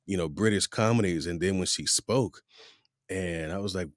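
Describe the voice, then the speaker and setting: A middle-aged man from the United States hosting a podcast, telling stories in a rambling, animated way. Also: low voice, normal speed, no echo, studio quality. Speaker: podcast host. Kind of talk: casual interview chat.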